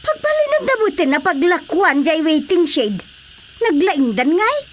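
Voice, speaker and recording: A woman's voice: very high-pitched, very animated, measured pace, a little hiss or hum, exaggerated voice. An actress with an Ilocano Filipino accent playing an indignant, nosy village woman who complains and exclaims, in a radio drama comedy.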